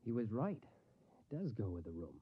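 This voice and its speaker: Unhinged murderous man, wildly swinging pitch. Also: sing-song, brisk, a little hiss or hum. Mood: muttering, methodical. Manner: muttering to himself, lurching into nervous excuse-making. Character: unhinged, murderous man hiding hatred behind forced geniality. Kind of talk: 1950s radio drama acting. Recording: dull vintage radio recording.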